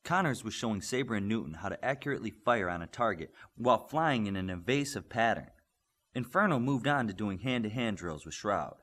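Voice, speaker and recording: A man's voice: fairly low pitch, lively intonation, brisk, a bit bright. A man reading a scripted superhero novel as an audiobook narrator.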